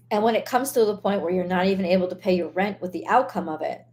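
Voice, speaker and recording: A woman with a hoarse, worn voice hosts a casual live stream at an ordinary medium pitch. She talks bluntly and swears freely, circling back with restarts as she vents her frustration. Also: a little mellow, slight background noise. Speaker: tarot reader hosting a live stream.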